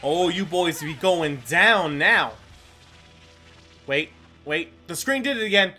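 A man with a fairly high, bright and forceful voice talks casually over a video game. He rambles along in a self-deprecating way with some mild swearing, and music plays behind him. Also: expressive, slow. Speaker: gaming video host. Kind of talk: casual gameplay commentary.

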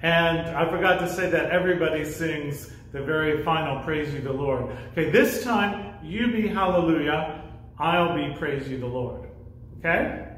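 High-voiced American man in an echoey room. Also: moderate pace, fairly bright tone, a little hiss or hum. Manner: simple, encouraging explanations with demonstrations. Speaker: church children's music leader. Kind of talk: instructional talk for a children's sing-along. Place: church music classroom with a piano. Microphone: camera microphone a few feet away. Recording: very echoey room, camera-distance sound.

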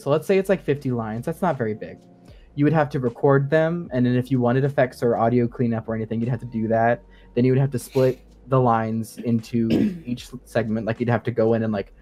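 A man's voice: medium pitch, darkish tone. A man talking casually and in technical detail as a guest co-host on a gaming podcast, in an informal, hedging way. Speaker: podcast guest co-host explaining game sound design.

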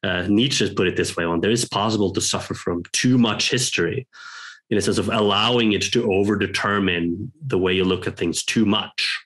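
Guest, male, 30s, lowish voice; halting and thoughtful, video call from home. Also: fairly bright tone. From Norway. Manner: hesitant, thoughtful, many pauses and restarts. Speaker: guest, professor. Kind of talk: reflective interview talk. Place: home room with bookshelves. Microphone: headphones on a video call.

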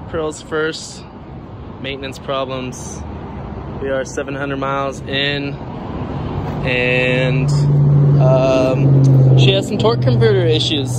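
A young man narrates his road trip in an easygoing, unhurried drawl, filling pauses with relaxed "ums". He holds a phone at arm's length, in a truck cab or out at camp. Loud road and wind noise runs under his voice. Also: on the high side. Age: young adult. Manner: laid-back, chill, filler-heavy. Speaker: vlogger. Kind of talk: casual road-trip vlog. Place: inside a pickup truck and outdoors at campsites. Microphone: phone camera at arm's length.

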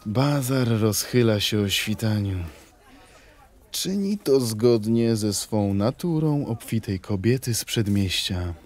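A man's voice: on the low side, animated, takes it slowly, a little mellow, faint music behind. A man reciting poetry in a dramatic, scripted style for an audio play.

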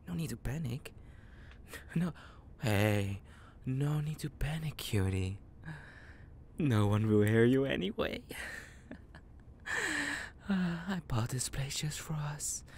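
A man voicing an obsessive, falsely sweet young male friend in an intimate audio roleplay, soft and teasing, often breathy. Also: medium pitch, a little hiss or hum. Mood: creepy, tender, obsessive. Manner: slow, chuckling, teasing, coaxing.

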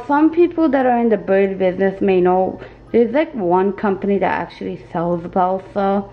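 A young woman with a raspy flu voice, chatting casually as she shows off her home craft studio. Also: medium pitch, muffled recording. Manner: chatty, self-deprecating, filler-heavy. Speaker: small shop owner giving a studio tour. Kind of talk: casual video tour.

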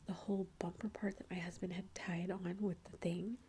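A woman's voice: lowish voice, level tone, normal speed, warm tone, a little hiss or hum. A woman in her thirties whispers a long personal story in a chatty way, with many fillers. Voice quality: whispering throughout. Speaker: vlogger.

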